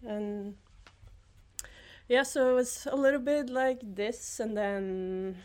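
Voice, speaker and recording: A young woman from Norway speaks English at an unhurried, slow pace. She pauses often on ums and laughs through her sentences in a relaxed, casual conversation. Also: on the high side. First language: Norwegian. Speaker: guest, former student athlete.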